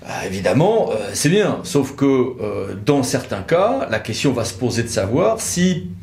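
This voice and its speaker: A man's voice: medium pitch, big pitch swings, a little slow, vocal fry, darkish tone. A man giving a conversational legal explainer, didactic, repeating words for emphasis. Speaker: legal expert presenter. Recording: echoey room with light noise.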